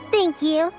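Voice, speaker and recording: A woman voicing a sweet, polite little girl in an anime game, soft, childlike and careful. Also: very high-pitched, full character voice. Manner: careful, earnest, unhurried. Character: gentle, polite little girls, earnest and sweet.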